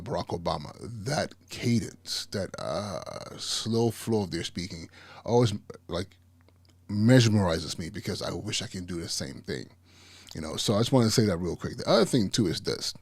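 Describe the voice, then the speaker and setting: Husky, low-voiced man who stutters. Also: lively intonation, normal speed, dry recording. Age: 40s. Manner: stutters, repeats and restarts words. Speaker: podcast host, school principal. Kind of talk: casual podcast commentary.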